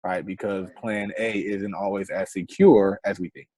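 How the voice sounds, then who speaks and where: A man's voice: low-pitched, steady tone, moderate pace, mellow, dry recording. A man in his twenties, a guest entrepreneur on an online interview, giving earnest advice and often tagging lines with "right?". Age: 20s. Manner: earnest, repeats "right?" as a tag.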